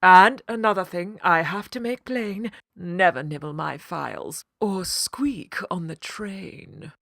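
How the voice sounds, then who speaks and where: A grand lady cat from a children's story, played by a woman, canny and stern but kind underneath. She speaks slowly and firmly in a fairly low female voice, with a close, dry sound. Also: creaky, fairly bright tone, no room sound, exaggerated voice. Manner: grand, firm, measured.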